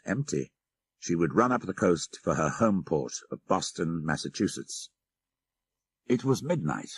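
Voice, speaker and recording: A male narrator reads a classic tale of horror in a very low voice with a husky grain. His delivery is measured and literary, swinging widely in pitch for dramatic effect. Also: no echo. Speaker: audiobook narrator. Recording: 1990s audiobook cassette.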